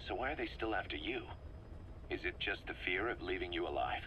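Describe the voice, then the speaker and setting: A man's voice: low-pitched, expressive, fairly quick, sharp tone, dull audio, a little hiss or hum. An actor playing a bitter middle-aged mercenary commander in a video game, briefing his boss in a terse, intense way. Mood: grim, bitter. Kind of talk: dramatic video game acting, expository briefing.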